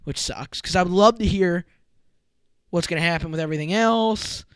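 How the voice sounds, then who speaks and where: Young dude cohosting a podcast, fired up and pushy, voice kinda worn, dry mic. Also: male voice, high voice, clean tone, no echo. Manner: excitable, animated, quick bursts of emphasis. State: losing his voice. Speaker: podcast co-host. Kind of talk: casual sports chat.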